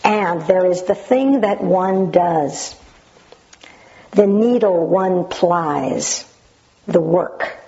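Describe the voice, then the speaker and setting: A woman with a naturally loud voice reading a passage dramatically with up-and-down intonation, then sharing her memories in a reflective way. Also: fairly low pitch, very slow, muffled recording. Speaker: Zen practitioner sharing memories of a teacher.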